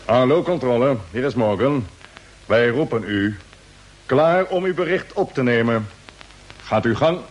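An actor playing a brisk, formal spaceship captain in a vintage radio drama, with a husky voice. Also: male voice, medium pitch, expressive, poor audio. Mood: commanding.

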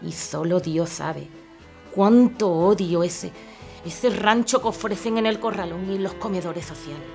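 A woman's voice: lowish voice, a little slow, creaky, darkish tone, soft background music. An actress playing a proud, poor young mother in an audio drama, speaking with earnest dignity. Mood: proud indignation.

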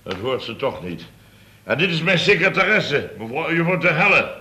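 Sharp, blustering, muddled company boss. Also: male voice, on the high side, big pitch swings, moderate pace, a little hiss or hum, full character voice. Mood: flustered. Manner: rambling, repetitive, tags phrases with "hè". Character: blustering, muddled male boss of a building firm. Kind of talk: 1970s Dutch radio comedy acting.

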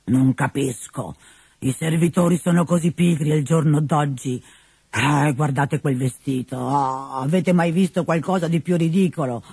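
A woman's voice: deep voice, steady tone, unhurried, breathy edge, no echo, full character voice. A woman voicing a miserly, sickly old man who whines and grumbles, in a storybook reading. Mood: grumbling. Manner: whiny, complaining, shouting orders. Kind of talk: storybook character voice.

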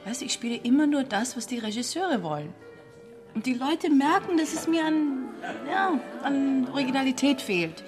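An insecure, earnest stage performer in a radio play. Her voice is somewhat high and hesitant, and music plays clearly behind it. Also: lively intonation, normal speed. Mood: self-doubting. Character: insecure, self-doubting stage actress. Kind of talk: radio drama acting.

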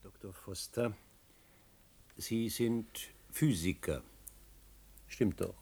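An actor playing a middle-aged historian in a radio drama, brooding, obsessed and insistent, with a gravelly, husky voice. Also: male voice, on the low side, extremely slow, warm tone, exaggerated voice. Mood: insistent, urgent. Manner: insistent, muttering, emphatic. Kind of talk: German radio drama acting.

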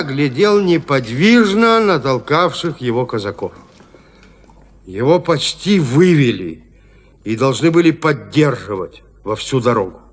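A man narrating a gothic horror story in an audio drama with theatrical suspense. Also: medium pitch. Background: music.

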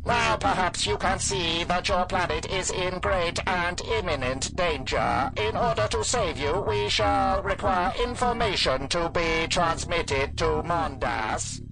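A woman voicing a cold, commanding male cyborg leader with an icy, monotonous voice. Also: on the low side, unhurried, hardly any pauses, music in the background, low-quality recording.

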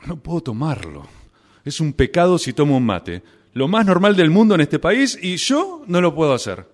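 A man's voice: on the high side, big pitch swings, moderate pace. An actor with an Argentine accent playing an anguished, obsessive, melodramatic man in a radio drama, stammering at times. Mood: desperate. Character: anguished, obsessive man deprived of his beloved mate. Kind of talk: radio drama acting with first-person narration.

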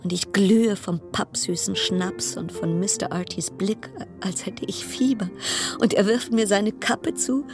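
A woman's voice: mid-range voice, unhurried, music in the background, lightly acted. An actress in a radio drama playing a wry, anxious cruise passenger stranded at sea, telling her story in literary, broken-off sentences. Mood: confiding, uneasy. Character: weary woman passenger stranded on plague-stricken cruise ship, wry, anxious.

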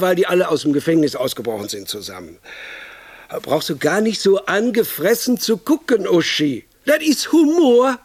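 Brash, high-voiced, excitable idea man. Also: dry recording, heavily acted. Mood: excited, insistent. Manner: rambling, insistent, self-interrupting, swinging into emphatic outbursts. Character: brash, pushy male film idea man, tactless and excitable. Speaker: film pitcher. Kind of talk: radio drama monologue, colloquial film pitch.

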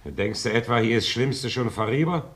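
An actor playing a gruff, defiant blacksmith and old soldier, blunt and scornful, in a German radio play. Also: male voice, medium pitch, moderate pace, a bit bright, lightly acted.

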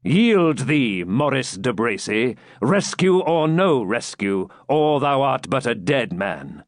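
A man voicing a stern, commanding champion knight in an audiobook, authoritative and deliberate. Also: medium pitch, sing-song, dry recording, studio quality, pitched above the performer's own voice. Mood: menacing demand.

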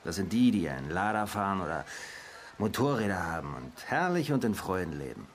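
An actor playing a wary, grumbling street hustler and reluctant informant in a radio crime play, slangy and evasive. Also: male voice, deep voice, very animated, brisk, a little hiss or hum. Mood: sardonic. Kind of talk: naturalistic German radio play dialogue.

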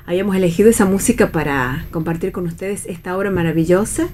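A woman's voice: fairly low pitch, fast talker, a little mellow, a little hiss or hum. A woman with an Argentine accent hosting a radio programme in conversational talk. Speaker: radio host.

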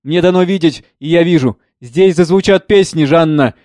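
A man's voice: fairly high pitch, normal speed, darkish tone, no echo, pitched above the performer's own voice, close to an everyday voice. A man playing an impassioned, solemn father in a stage drama, declaiming with emphatic repetition. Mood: fervent, grieving. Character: impassioned father, consoling visionary, solemn and fervent.

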